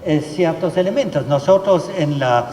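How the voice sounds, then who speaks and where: A man gives a formal talk on law in a large hall. He speaks slowly in a fairly high voice, pausing often on fillers and restarting phrases. The sound is roomy, with a long echo and faint room noise behind it. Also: lively intonation, a little mellow. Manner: halting, many fillers and restarts. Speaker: legal expert speaker.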